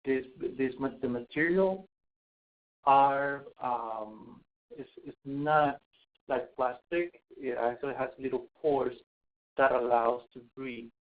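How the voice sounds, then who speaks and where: A man's voice: medium pitch, slow. A man from Costa Rica giving a webinar talk on home visits, hesitant, with many fillers and restarts. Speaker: asthma program home visitor. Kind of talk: webinar presentation. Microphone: webinar call mic. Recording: tinny phone-like webinar audio.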